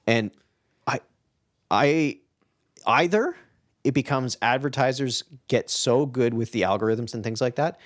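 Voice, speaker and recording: A man's voice: mid-range voice, measured pace, pauses often, no echo, clean studio sound. A man co-hosting a casual podcast discussion, explaining his thoughts reflectively and often checking in with the listener. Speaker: podcast co-host.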